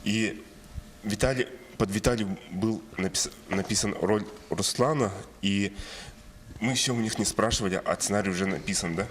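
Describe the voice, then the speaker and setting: A film director speaking haltingly in a TV interview, self-deprecating with small laughs. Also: male voice, on the low side, slight background noise.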